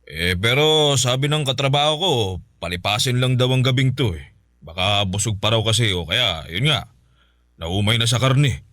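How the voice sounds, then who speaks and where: A man's voice: medium pitch, moderate pace, dry recording, clean studio sound. A man voicing an easygoing, good-natured husband in a radio drama. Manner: relaxed, joking.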